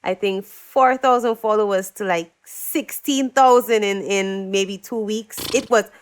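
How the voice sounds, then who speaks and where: A woman's voice: mid-range voice, measured pace, smooth. A young adult woman from Dominica, a singer-songwriter guest telling her story in a casual interview and acting out what others said. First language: English. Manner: animated, acts out quoted voices.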